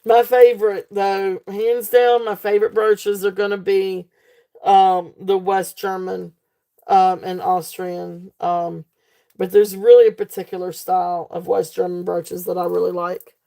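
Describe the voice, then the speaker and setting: Woman, live sale host, mellow gravelly voice going hoarse, chatty with plenty of fillers. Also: medium pitch, flat delivery, a little slow. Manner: chatty, fillers, reads comments aloud. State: losing her voice. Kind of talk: live auction selling chat.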